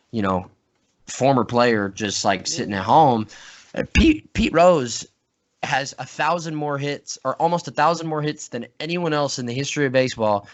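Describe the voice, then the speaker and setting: A man's voice: mid-range voice, a bit bright. A man hosting a casual podcast chat, speaking earnestly in long, rambling sentences. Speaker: podcast host.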